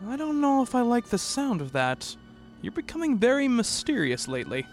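A man's voice: very high voice, wildly swooping pitch, moderate pace, full character voice. A man playing a worried, rational researcher in an eerie radio play, speaking as a thoughtful narrator and character over music. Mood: hesitant, concerned. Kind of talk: radio play dialogue and first-person narration.

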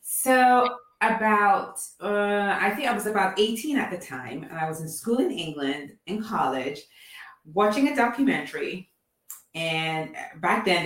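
A woman in her forties from Nigeria, telling her story as a guest in a casual interview, with animated, lively delivery. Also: medium pitch, roomy sound, far from the mic, low-quality recording. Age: 40s.

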